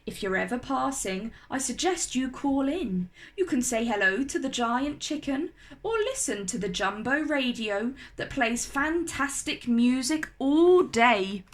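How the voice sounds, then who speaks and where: A woman reading a children's storybook aloud as narrator, with expressive, deliberate delivery. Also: high-pitched.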